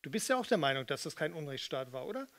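A man's voice: on the high side, sing-song, fast, fairly bright tone. A middle-aged man from East Germany performing spoken word on stage at a close microphone. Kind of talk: stage talk and spoken-word poetry over guitar. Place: small theatre hall with red seats, indoors. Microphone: stand microphone with pop filter, close. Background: electric guitar playing.

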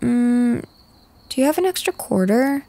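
A woman's voice: fairly high pitch, expressive, measured pace, vocal fry, noisy background. A woman playing a teasing young goth girl in an ASMR roleplay, talking casually and directly to the listener. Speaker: roleplay voice performer. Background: background music.

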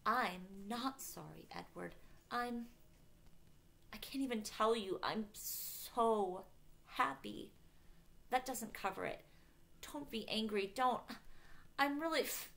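A woman playing a lovestruck, stubborn young woman in an audiobook, earnest and exasperated, with emphatic, halting pleas. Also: a little high, creaky, fairly bright tone, voice pushed up, heavily acted. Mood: pleading, insistent.

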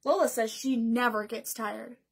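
A woman's voice: on the high side, fairly even intonation, unhurried, vocal fry, husky. A young woman hosting a library storytime, reading a picture book aloud expressively and putting questions to young listeners. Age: young adult. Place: small bedroom indoors.

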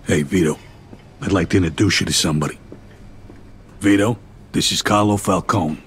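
Very deep-voiced mob underboss. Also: male voice, normal speed, a bit bright, slight background noise. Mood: businesslike. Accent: American. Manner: matter-of-fact, giving orders. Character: middle-aged mob underboss, gruff and businesslike. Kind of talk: video game cutscene acting.

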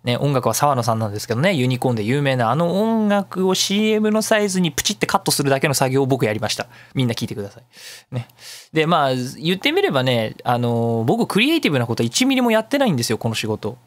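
A man's voice: medium pitch, very animated, fast talker, dry recording. A man hosting a casual solo radio talk, chatty and digressive, explaining at length with self-mocking asides. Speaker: radio show host.

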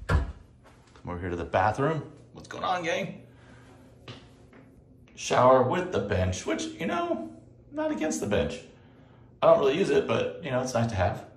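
A man in his forties giving a casual, upbeat walk-through review of a hotel room, recorded on a handheld phone indoors. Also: medium pitch. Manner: chatty, upbeat walk-through with frequent asides. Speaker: casino vlogger. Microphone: handheld phone camera.

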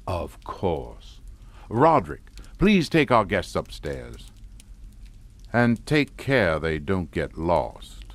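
A man playing a courteous but sinister head of a mansion household in an audio drama, formal and deliberate. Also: deep voice, sing-song, no room sound, heavily acted. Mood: ominously polite. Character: courteous, sinister Southern patriarch of decaying mansion.

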